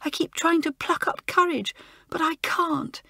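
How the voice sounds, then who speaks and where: A woman reading aloud, voicing an ashamed schoolgirl who sobs and pleads as she confesses her fear of the dark. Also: very high-pitched, measured pace, gravelly, dry recording, studio quality, voice pushed up, exaggerated voice. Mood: tearful. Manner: sobbing, pleading.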